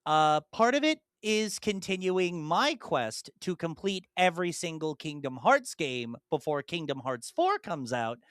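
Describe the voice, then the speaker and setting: A man's voice: on the high side, sing-song, measured pace, few pauses, a bit bright, clear, resonant tone, no echo. A young man and podcast co-host chatting casually about video games, rambling through detailed explanations with many ums and restarts.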